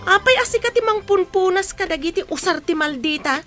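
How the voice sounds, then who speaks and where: A woman's voice: very high-pitched, lively intonation, fairly quick, bright, forceful tone, faint music behind, exaggerated voice. A woman playing a protective, outspoken young older sister in a radio drama. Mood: indignant, kind.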